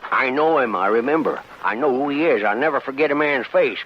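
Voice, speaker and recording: An actor playing a greedy, colorful American wagon driver in a radio western, with a folksy frontier drawl. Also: male voice, mid-range voice, expressive, fairly quick, close to an everyday voice. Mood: scheming, greedy. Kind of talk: old-time radio western acting. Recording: muffled, noisy vintage radio recording.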